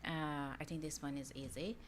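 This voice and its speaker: Guest: woman, thick Iranian accent, lowish voice, lively, laughs often, rambles and restarts. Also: normal speed, slight background noise. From Iran. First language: Persian. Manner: animated, laughs often, rambling self-corrections. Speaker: mortgage loan officer guest. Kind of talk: casual podcast chat.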